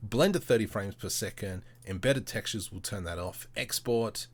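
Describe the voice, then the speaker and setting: A man with a fairly low voice hosts a casual screen-share tutorial on a livestream. He thinks aloud in short step-by-step phrases, with informal, slangy wording. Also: normal speed.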